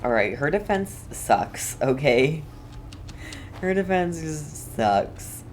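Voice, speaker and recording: A woman's voice: low voice, sing-song, slow, slight background noise. A woman game streamer giving casual, rambling commentary as she talks herself through play.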